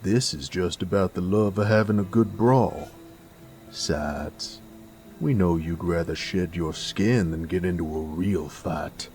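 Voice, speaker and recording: A very deep, slow Southern American drawl from a man acting as a cocky werewolf bounty hunter of the Old West. He is gruff and sardonic, tossing out taunts over faint music. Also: darkish tone. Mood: cocky, teasing. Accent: American Southern drawl, Western style. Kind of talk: Western audio roleplay acting.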